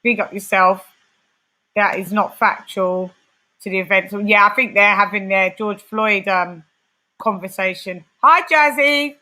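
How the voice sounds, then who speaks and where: A woman's voice: medium pitch, unhurried, bright, forceful tone, low-quality recording. A woman with a London accent, hosting a casual livestream chat and reading out viewers' comments. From West London. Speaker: livestream host.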